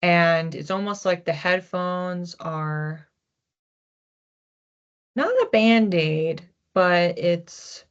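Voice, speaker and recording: A woman's voice: fairly low pitch, expressive, extremely slow, pauses often, clear, resonant tone. A woman giving a psychic reading, musing aloud and often repeating words as she searches for what comes through. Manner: hesitant, repeats words while searching for images. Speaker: psychic reader. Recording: dull lo-fi recording.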